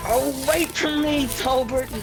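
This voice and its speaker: A man's voice: extremely high pitch, fairly even intonation, slow, vocal fry, heavily acted, low-quality recording. A man playing a frightened, easily led young in a radio drama, stammering and pleading. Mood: panicked, pleading. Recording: loud music under the voice.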